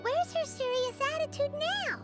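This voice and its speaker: A woman voicing a tiny, blunt, cheeky childlike fairy girl companion in a video game, with a squeaky voice. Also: moderate pace, loud music behind the voice. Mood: puzzled. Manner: refers to self in third person, exclamatory. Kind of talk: animated video game voice acting.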